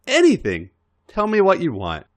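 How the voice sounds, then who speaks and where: A man voicing an older wrestler, calm, dry and insistently teasing, in an audio fan story. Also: high voice, moderate pace, loud music behind the voice, pitched above the performer's own voice.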